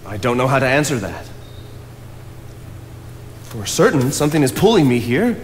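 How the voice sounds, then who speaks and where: A man's voice: mid-range voice, very animated, normal speed, slight background noise, close to an everyday voice. An actor in his thirties playing an earnest, solitary young naturalist on a theatre stage, speaking in a philosophical, declamatory way. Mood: impassioned. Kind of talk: stage play acting. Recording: hall reverb of a theatre stage.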